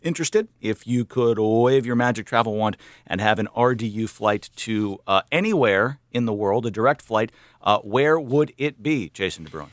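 A man hosting a public radio news roundup from a studio, relaxed with joking asides. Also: on the low side, normal speed, dry recording. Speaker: radio show host. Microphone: studio microphone.

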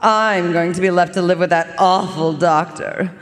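A melodramatic, jealous female cat acted by a woman, plaintive and full of self-pity. The voice is low for a woman and theatrical, on a radio drama stage in a reverberant hall. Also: steady tone, normal speed, close to an everyday voice. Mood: bitter, self-pitying. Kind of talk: live radio drama acting.

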